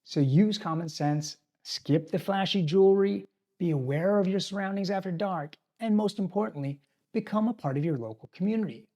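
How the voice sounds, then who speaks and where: A man's voice: high-pitched, normal speed, dark tone, muffled recording. A man hosting an expat channel, giving scripted, direct advice to his viewers about living abroad. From tropical island. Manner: advisory, direct address to viewers. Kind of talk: scripted talking-head explainer.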